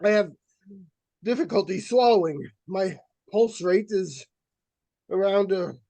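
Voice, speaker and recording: Man playing earnest male psychic researcher, high voice, swooping intonation, grave formal diction, radio suspense drama. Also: animated, vocal fry, dark tone, no echo, heavily acted. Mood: shaken, breathless dread. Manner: grave, deliberate, formal, theatrical. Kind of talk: live old-time radio suspense acting.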